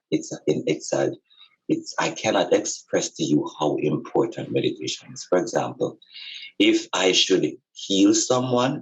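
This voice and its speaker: A man giving an informal, explanatory spiritual talk in a deliberate, emphatic way, repeating phrases for stress. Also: a bass voice, muffled recording. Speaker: podcast speaker and spiritual teacher.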